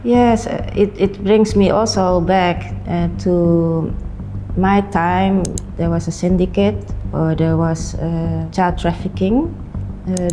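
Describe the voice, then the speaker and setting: A woman in her forties with a Dutch accent, telling her own story in halting non-native English during an interview. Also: on the low side, warm tone, poor audio. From Netherlands. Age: 40s. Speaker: interviewee, non-profit founder. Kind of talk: personal account in an interview.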